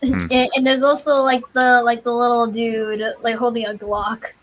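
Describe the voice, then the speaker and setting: A woman's voice: a little high, flat delivery, moderate pace, a bit bright, rough recording. A woman streamer chatting casually with friends over a game, hesitant and leaning on the word "like". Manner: hesitant, filler-heavy talk with frequent "like". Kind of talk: casual chat while playing and watching. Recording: background music behind the voice.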